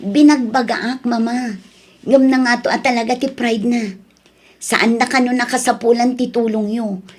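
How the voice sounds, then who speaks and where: A woman's voice: on the high side, fairly even intonation, moderate pace, natural voice. A woman with an Ilocano accent playing a concerned, advising elder sister in an audio drama. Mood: pleading, advising.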